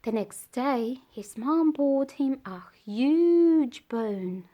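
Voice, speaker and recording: A woman reading a children's story aloud in a deliberate way, pausing between phrases. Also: high-pitched, animated, lo-fi sound. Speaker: storybook reader.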